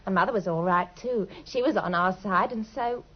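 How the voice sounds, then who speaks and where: A woman's voice: mid-range voice, normal speed, lightly acted. An actress in an old British radio drama playing a naive, trusting, lovelorn young woman who speaks earnestly and breathlessly. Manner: breathless, earnest, deferential, pours out her story. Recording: dull, lo-fi old radio recording.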